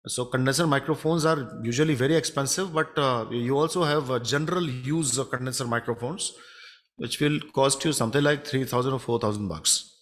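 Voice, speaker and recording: A man with a baritone voice from India, giving a halting live demonstration of audio mixing in his home studio, recorded on a condenser microphone from a distance. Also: fairly bright tone. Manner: hesitant, many fillers and restarts. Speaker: music studio instructor.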